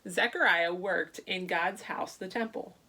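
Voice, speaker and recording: A woman speaking to young children as a church storyteller, reading aloud and chatting in an animated way with simple questions. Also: fairly low pitch, a little slow, husky, poor audio. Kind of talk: read-aloud children's Bible story and chat to kids.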